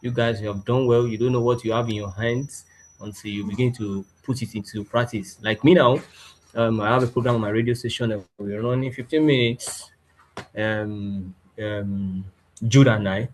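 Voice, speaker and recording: A man's voice: on the low side, fairly even intonation, normal speed. Guy on a video call, bit of noise, informal congrats speech from an alum. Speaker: course alumnus. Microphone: video call microphone. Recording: noisy online call.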